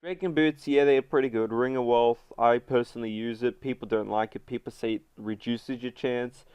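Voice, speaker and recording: A stuffy-nosed man talks through a gaming tutorial in a loose, rambling way. His voice sounds smooth and very dry, right up on the mic with no room sound. The top end is a little dull. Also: medium pitch, steady tone, normal speed, no room sound. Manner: chatty, many fillers. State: congested, runny nose. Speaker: gaming video host. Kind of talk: casual game guide voice-over.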